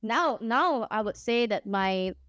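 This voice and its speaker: A woman's voice: on the high side, animated, slow pace, a bit bright, no echo, studio quality, soft background music. A young woman from Singapore with a mixed, partly Singaporean accent, rambling casually as a streamer while she plays, often laughing and pleading playfully. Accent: mixed, partly Singaporean English. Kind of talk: casual livestream chat while gaming.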